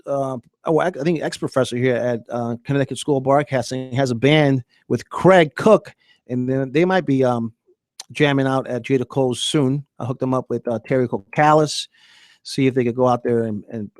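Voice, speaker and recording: A man's voice: medium pitch, a little mellow, no room sound. A man hosting a casual sports radio show, chatting loosely with fillers and reading ads live.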